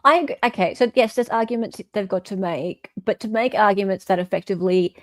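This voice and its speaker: A woman's voice: medium pitch, a little fast, no room sound. An Australian woman hosting an online show, reading a legal filing aloud with emphatic, incredulous off-the-cuff commentary.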